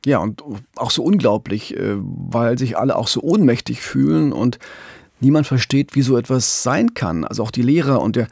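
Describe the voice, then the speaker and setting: A man's voice: mid-range voice, expressive, moderate pace, vocal fry, a little mellow, husky, dry recording, clean studio sound. A man co-hosting a true-crime podcast, narrating a case in a conversational way. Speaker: podcast co-host and crime reporter.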